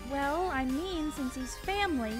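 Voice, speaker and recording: A woman's voice: high voice, unhurried, faint music behind. A woman voicing a sweet, girlish young woman in a comic dub. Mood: hesitant concern. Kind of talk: animated comic-dub voice acting.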